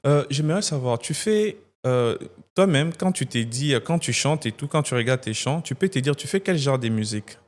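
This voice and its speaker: A man's voice: medium pitch, expressive, normal speed. A man interviewing a guest, asking long, rambling questions full of fillers and restarts.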